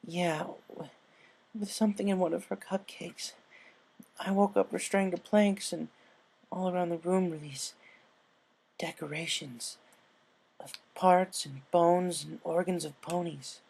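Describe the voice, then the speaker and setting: A traumatized young pegasus mare from a pony storybook, acted out by a man. The voice is lifted high and soft-toned, halting and tearful, as if barely holding together. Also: fairly even intonation, vocal fry, exaggerated voice. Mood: shaken, haunted. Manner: halting, sobbing, stammering delivery.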